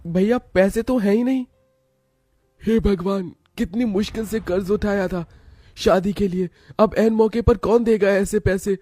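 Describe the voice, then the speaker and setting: Man acting an earnest, high-pitched poor brother. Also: steady tone, a little slow, dark tone, dry recording. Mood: despairing. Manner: earnest, emotional. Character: self-sacrificing, protective poor young elder brother.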